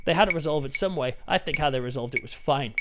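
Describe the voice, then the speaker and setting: A man's voice: fairly high pitch, fast talker, noisy background. A British man hosting a casual film review, talking to viewers in an informal, enthusiastic and emphatic way. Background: music.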